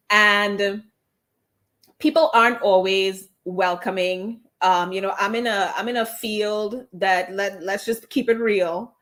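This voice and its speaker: A woman's voice: medium pitch, a bit bright. A woman with a slight Trinidadian accent, an immigration attorney speaking candidly in an interview, often restarting her phrases over a video call. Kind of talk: conversational interview answers.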